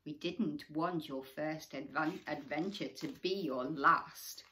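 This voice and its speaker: A woman's voice: low voice, slow pace, pushed and bright, slight background noise, exaggerated voice, low-quality recording. A woman voicing a protective female sea turtle in a storybook, confident and encouraging. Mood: kindly, reassuring.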